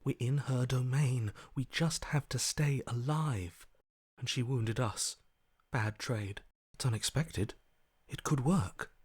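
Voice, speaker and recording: An actor playing a wary, primal survivor's inner voice in a video game, speaking in terse, watchful phrases. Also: male voice, mid-range voice, slow, breathy edge, dry recording, studio quality. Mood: tense, urgent. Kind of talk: video game character voice acting.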